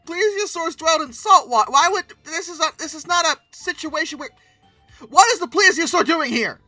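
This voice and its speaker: A host giving casual, humorous reaction commentary in an animated, theatrically incredulous way, prone to exclaiming. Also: extremely high pitch, normal speed, dry recording, soft background music. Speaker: video host commenting on old dinosaur art.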